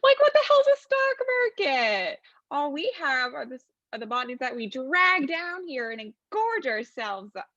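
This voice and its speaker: A snarky, smug demon played by a woman rambles through a comic stage monologue. The voice is fairly high and casually sardonic. The recording is dry and somewhat dull and lo-fi. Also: sing-song, a little slow, a bit bright, no room sound, heavily acted.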